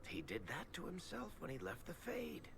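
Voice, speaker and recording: A man playing a gruff, streetwise, protective adventurer in a video game, blunt and plain-spoken. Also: a little high, wildly swooping pitch, normal speed, background noise, full character voice. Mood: protective, firm.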